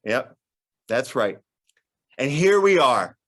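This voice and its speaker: This is an upbeat event host who talks playfully and pumps up the crowd. The voice sits at an ordinary pitch. It was recorded in a school library. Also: takes it slowly. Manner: lively, playful, hypes the audience.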